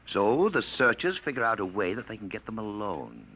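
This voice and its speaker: An actor playing a smooth, scheming time-traveler villain, persuasive, in a 1950s radio drama. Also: male voice, lowish voice, wildly swooping pitch, normal speed, pushed and bright, exaggerated voice. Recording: dull noisy 1950 radio broadcast.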